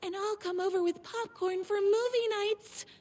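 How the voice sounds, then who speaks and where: A woman's voice: very high voice, steady tone, moderate pace. A woman playing a devoted, gushing superfan of a writer in a video game, earnest and melodramatic in her scripted lines. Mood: perky, pleading. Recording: loud music behind the voice.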